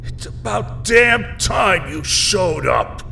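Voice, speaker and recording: A man's voice: high voice, big pitch swings, takes it slowly, creaky, bright, forceful tone, slight background noise, heavily acted. A man playing a self-important, entitled politician held captive in a radio drama, whiny and pompous. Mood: indignant. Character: self-important, entitled male politician, a rescued kidnap victim.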